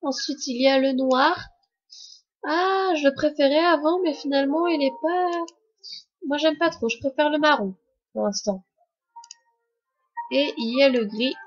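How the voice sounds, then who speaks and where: A woman's voice: high-pitched, dull audio. A young woman with a cold commenting on a game update in a chatty, hesitant way full of fillers. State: has a cold. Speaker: gaming YouTuber.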